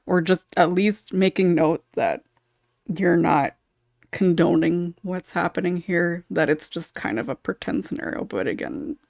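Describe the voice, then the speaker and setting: A woman's voice: on the low side, lively intonation, a little slow, gravelly, a little mellow, no room sound, clean studio sound. A woman talking casually in a panel discussion, thinking aloud and hedging as she goes. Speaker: podcast panelist.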